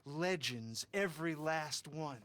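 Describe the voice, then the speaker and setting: An actor playing an earnest, nervous Old West biographer in a western game, with a wordy, pleading manner. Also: male voice, a little high, lively intonation, slow pace, a loud crowd. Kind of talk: western video game acting.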